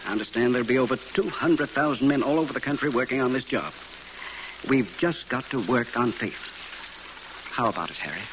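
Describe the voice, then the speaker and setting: A firm, weary factory boss, played by a man, speaks earnestly in a medium-pitched voice. The sound is a vintage radio drama with some noise. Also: fairly quick, lightly acted. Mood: grave, firm. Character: firm, weary male tank arsenal manager. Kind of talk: 1940s radio acting.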